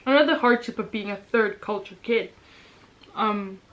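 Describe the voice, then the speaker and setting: A woman's voice: medium pitch, normal speed, muffled recording. Rambling young woman. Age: young adult. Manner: rambling, many "um", "like", "kind of" fillers. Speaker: vlogger, older sister. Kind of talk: casual chat while eating.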